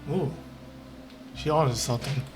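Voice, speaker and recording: Very low-voiced woman streamer, offhand. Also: normal speed, heavy background noise. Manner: offhand, rambling. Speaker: game streamer. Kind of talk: casual gameplay commentary. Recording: game music behind the voice.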